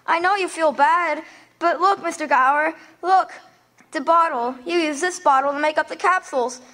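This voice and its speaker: A woman's voice: high voice, moderate pace, bright, forceful tone. A woman playing a frightened pleading boy. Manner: pleading, urgent. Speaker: radio theatre actor. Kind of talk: live amateur radio drama acting.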